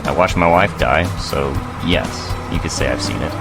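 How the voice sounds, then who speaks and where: An actor playing a bitter, ornery, world-weary refugee fighter in an audio drama. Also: male voice, rumbling low, moderate pace. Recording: loud music under the voice.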